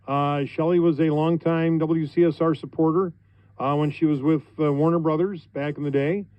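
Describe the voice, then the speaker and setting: Middle aged american radio guy chatting on air, kinda halting, dry studio sound thats a bit dull. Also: on the high side, fairly even intonation, no echo. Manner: conversational, halting. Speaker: radio host. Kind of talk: informal on-air thank-you speech.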